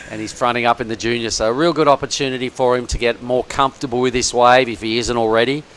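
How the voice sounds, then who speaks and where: A man giving chatty, excitable live commentary on a surf contest. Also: medium pitch, a little fast, smooth.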